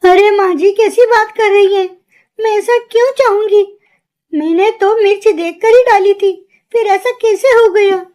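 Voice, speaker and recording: A woman voicing a meek, kind young crow bride in a cartoon story, gentle and deferential. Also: extremely high pitch, unhurried. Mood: bewildered, pleading. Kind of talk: Hindi cartoon story acting.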